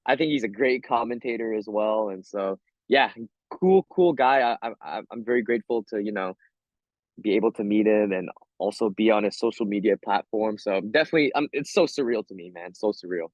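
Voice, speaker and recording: A man's voice: on the low side, brisk, no room sound, dull audio. An American man, a fighter being interviewed, chatting casually in slang and often repeating himself. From Hawaii, USA.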